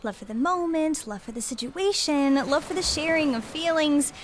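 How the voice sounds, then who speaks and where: A woman's voice: high voice, moderate pace, a little hiss or hum, close to an everyday voice. An actress playing a free-spirited, openhearted but self-doubting woman in an audio drama, earnest and musing.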